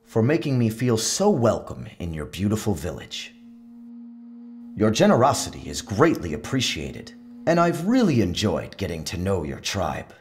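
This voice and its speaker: A man playing a gracious, grateful traveler in a storybook reading, speaking in a courteous, formal and expressive way. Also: on the low side, normal speed. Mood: warm gratitude. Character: gracious, grateful male traveler visiting a village.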